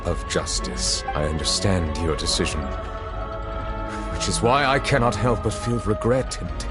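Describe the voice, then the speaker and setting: A deep, solemn dragon judge. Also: male voice. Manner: formal, measured, grave. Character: ancient dragon in human form, a solemn chief judge. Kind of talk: video game voice acting. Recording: game audio with music behind.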